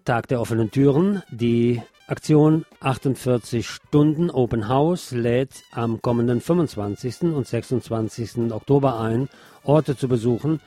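A man's voice: mid-range voice, warm tone, dry recording. A man hosting a radio show, presenting local news and culture tips.

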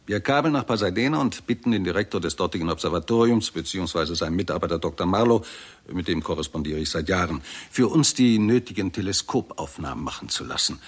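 A husky-voiced, low-pitched astronomy professor with lively swings of intonation. He is confident, insistent and impatient, arguing precisely in the manner of a sixties radio play. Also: heavily acted. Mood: decisive. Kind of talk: 1960s German radio drama acting.

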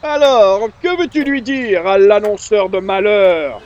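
A self-important, busy radio announcer in an old-time comedy, pitched very high. He is pompous and condescending. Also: measured pace, darkish tone, dull audio. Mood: indignant, brisk.

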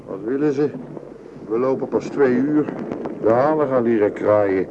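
A man's voice: medium pitch, a little slow, close to an everyday voice. Man playing a grumbling hot-tempered soldier, muffled noisy recording. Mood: weary grumbling. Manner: coarse, griping, hot-tempered. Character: grumbling, hungry, rebellious French foot soldier. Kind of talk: radio drama acting.

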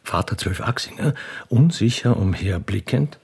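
A man's voice: lowish voice, lively intonation, moderate pace, creaky, darkish tone, husky. A man narrating a radio play in a scripted, dramatic style.

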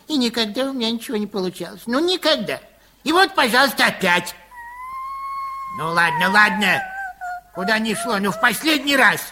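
A man playing a grumbling, soft-hearted old pharmacist in a Soviet radio play, fussy and full of sighs and complaints. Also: very high voice, background noise, exaggerated voice. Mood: grudging. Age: older.

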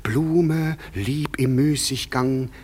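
An actor playing the proud, commanding fairy king in a classic verse radio play, with a measured, stately delivery. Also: medium pitch, darkish tone, a little hiss or hum. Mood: vengeful.

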